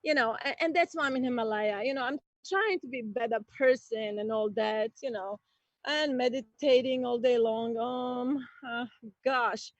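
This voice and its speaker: A woman from Croatia doing a playful, chatty stand-up comedy set, with bursts of excitement. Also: on the high side, moderate pace, no echo. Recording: dull lo-fi stream audio.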